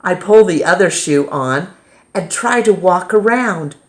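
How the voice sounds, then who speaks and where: A woman reading a children's picture book aloud as storytime reader, with dramatic pauses and emphasis. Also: on the low side, lively intonation.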